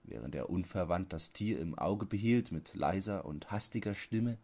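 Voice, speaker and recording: A man's voice: low-pitched, normal speed, dark tone. A man narrating a literary audiobook as a scripted reading.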